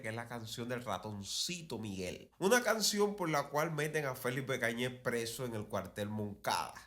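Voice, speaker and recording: A man's voice: mid-range voice, fairly bright tone. A man with a Cuban accent hosting a conversational talk on cultural history, telling it like a digressive storyteller who repeats phrases for emphasis. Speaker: podcast host.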